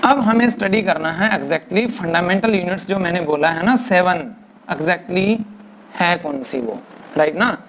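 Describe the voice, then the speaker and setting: A male physics teacher giving a lecture, mixing English into Hindi, dictating definitions slowly word by word and often checking with "right na". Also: sits high, a bit bright, a little hiss or hum. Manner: mixes English into Hindi, keeps asking "right na". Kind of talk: classroom lecture.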